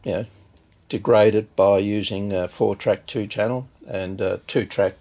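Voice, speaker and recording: A man giving a casual, unscripted hands-on demonstration as a hobbyist video host, hesitant and halting as he thinks aloud. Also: lowish voice, steady tone, dark tone.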